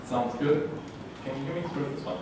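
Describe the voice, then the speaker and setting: A man hosts a live panel, stumbling through his lines and filling pauses as he goes. He is caught from across the room, and the walls throw his voice back. A steady background noise sits under him. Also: a little high. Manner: halting, many ums and restarts. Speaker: panel moderator. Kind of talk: hesitant panel hosting. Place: event room with audience. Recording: echoey room, distant mic, background noise.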